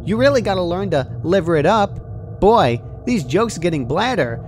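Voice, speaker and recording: A man's voice: high voice, big pitch swings, normal speed, a little mellow, slight background noise, voice pushed up. A man voicing a gleeful, sadistic female cartoon pony in a horror fan story, jovial and joking with mock sweetness. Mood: manic glee. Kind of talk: horror fan fiction character voice.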